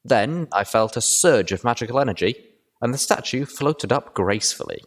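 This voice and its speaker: A man reading a story aloud as an expressive audiobook narrator. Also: mid-range voice, normal speed. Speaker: audiobook reader.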